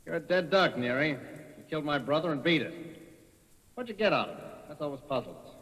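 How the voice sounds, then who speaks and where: A man's voice: on the high side, sing-song, a little fast, fairly bright tone. A man acting in a 1940s radio drama as a threatening villain with a gun, curt, bullying and sneering. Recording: noisy, reverberant 1940s radio recording.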